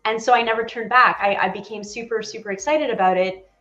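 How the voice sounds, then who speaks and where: A woman's voice: fairly high pitch, fairly even intonation, fast talker, bright, forceful tone, reverberant, highs cut off, faint music behind. A woman in her forties from Poland, chatting animatedly as an interview guest, with many fillers. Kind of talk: casual interview chat.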